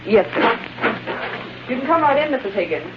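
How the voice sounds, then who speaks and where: An actress playing a pert, efficient newspaper secretary with a teasing streak in an old-time radio drama. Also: female voice, on the high side, very animated, normal speed. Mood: polite. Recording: muffled, noisy vintage radio recording.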